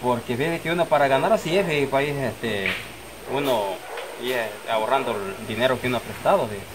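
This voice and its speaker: A man's voice: medium pitch, fairly quick, close to an everyday voice. A middle-aged man playing a friendly, persuasive countryman in an amateur drama, rambling and repeating himself, recorded outdoors. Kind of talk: amateur acted family drama, improvised dialogue. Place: outdoors in a rural yard under trees. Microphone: camera a few metres away. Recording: outdoor recording with clear background noise.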